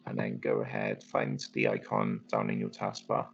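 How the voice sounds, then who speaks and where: A man's voice: extremely low pitch, fairly even intonation, moderate pace, creaky, muffled recording, faint music behind, voice pushed down, exaggerated voice. A man speaking as a robot through a voice changer, giving matter-of-fact tutorial instructions. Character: flat evil robot, very deep and mechanical. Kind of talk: step-by-step tutorial narration.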